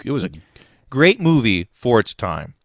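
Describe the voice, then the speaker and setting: A man co-hosting a podcast, relaxed and often laughing, telling stories with many pauses and fillers. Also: medium pitch, gravelly, dry recording, studio quality. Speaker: podcast co-host. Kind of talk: casual chat, storytelling.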